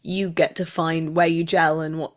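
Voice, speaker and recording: A woman with a fairly low-pitched voice speaks in a casual interview. She is reflective and conversational, talking as an actor about her work. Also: flat delivery, moderate pace.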